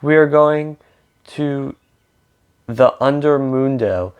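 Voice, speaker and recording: Eager little storybook Chihuahuas, voiced by a man, pitched above his natural voice. They speak emphatically in slow, halting bursts. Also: clean tone, highs cut off, lightly acted.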